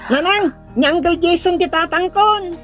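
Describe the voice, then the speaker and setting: A woman voicing a small, affectionate, excitable child with an Ilocano accent in an audio drama. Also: very high voice, normal speed. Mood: eager affection. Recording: music under the voice. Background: music.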